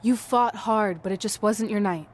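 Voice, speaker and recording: A woman's voice: medium pitch, normal speed, slight background noise, close to an everyday voice. An actress playing a determined, loyal young woman wrestler, speaking in an earnest, assertive way. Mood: sympathetic. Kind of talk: scripted game cutscene dialogue.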